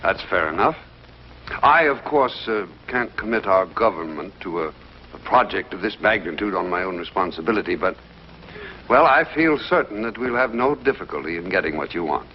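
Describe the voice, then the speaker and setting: A man with an American accent playing a courteous, hesitant government official in an old TV drama, speaking formally and haltingly. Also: low voice, lively intonation. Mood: measured, courteous. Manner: halting, deliberate, formal and polite. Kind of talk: mid-century American TV drama acting. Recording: dull, noisy vintage TV soundtrack.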